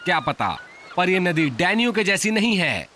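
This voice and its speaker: High, sharp, excitable cartoon man. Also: moderate pace, a little hiss or hum, heavily acted. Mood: speculating. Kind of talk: Hindi cartoon dub.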